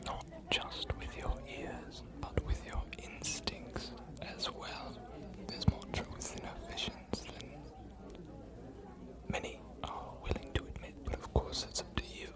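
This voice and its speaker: A woman voicing a scholarly ancient vampire archivist in an ASMR fantasy roleplay, cryptic and inviting, hushed and deliberate with weighty pauses. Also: lightly acted. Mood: cryptic, encouraging.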